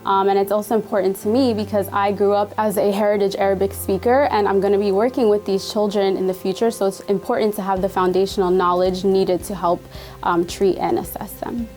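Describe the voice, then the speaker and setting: Young woman, student researcher, medium pitch, casual testimonial, faint background music. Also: flat delivery, fairly quick, darkish tone. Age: young adult.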